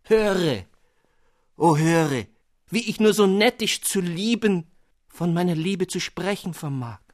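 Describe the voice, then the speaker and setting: A man playing a young male student, lovesick, florid, high-flown, lifted pitch, swooping delivery, dry studio sound. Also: expressive, takes it slowly, no room sound. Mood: swooning.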